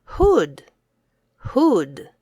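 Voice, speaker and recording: A middle-aged woman reading aloud to children as an English teacher, enunciating each phrase clearly. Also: a little high, wildly swooping pitch, drawn-out words, warm tone, dry recording, clean studio sound. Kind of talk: children's read-aloud lesson.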